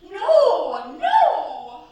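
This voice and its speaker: A woman playing a distraught young French widow in a staged radio play, halting and pleading as she protests her innocence. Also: very high voice, huge pitch swings, exaggerated voice. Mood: anguished. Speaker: amateur radio play actor. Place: live theatre stage. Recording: echoey stage, distant mic, faint noise.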